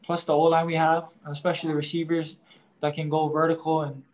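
A young man, a college quarterback, answering post-game press questions in a halting way, leaning on "I mean" and crediting his teammates. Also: a little high, completely flat delivery, low-quality recording. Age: young adult. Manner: halting, starts nearly every phrase with "I mean".

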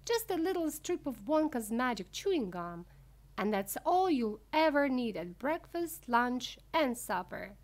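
A woman voicing an eccentric, excitable male candy inventor, proud and emphatic as a showman, in a storybook reading. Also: high-pitched, unhurried. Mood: grandly boastful.

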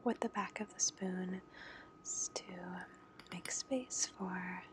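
A woman giving a whispered ASMR coffee tutorial in her home kitchen, gently explaining each step. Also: on the low side, takes it slowly. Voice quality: soft unvoiced whisper. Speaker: home coffee maker demonstrating.

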